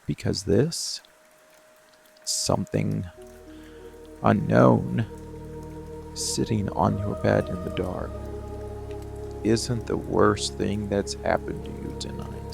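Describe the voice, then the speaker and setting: A man acting as a dark, protective monster lover murmurs in an intimate ASMR roleplay. The voice is low and heavily gravelled, brooding and seductive, with deliberate pauses. Rain and music play clearly behind it. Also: exaggerated voice. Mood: dark, soothing menace. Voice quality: gravelly, creaky. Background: steady rain and music.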